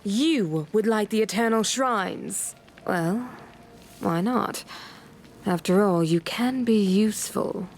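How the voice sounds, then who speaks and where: A woman playing an imperious, condescending high priestess in a video game, pious and measured. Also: slight background noise. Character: haughty, pious high priestess, disdainful of commoners.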